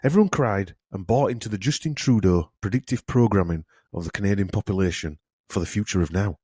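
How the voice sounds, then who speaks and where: A man's voice: on the low side, brisk, a little mellow, slightly rough, no room sound, clean studio sound. A man hosting a podcast, talking in an emphatic, opinionated way during a casual conversation. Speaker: podcast host. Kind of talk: casual conspiracy chat.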